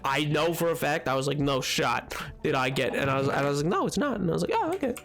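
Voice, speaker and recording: A man streaming a game, chatting with his viewers in a rambling, excitable way, his voice hoarse from a cold. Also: a little high, fast, soft background music. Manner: rambling, excitable, jumps between topics. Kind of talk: casual chat with stream chat while gaming.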